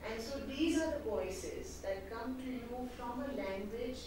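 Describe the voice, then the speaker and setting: An older woman, a Hindi speaker, speaking in a measured, reflective way as an author in conversation. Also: on the high side. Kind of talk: reading aloud then conversational talk. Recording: echoey hall picked up from far off with background noise.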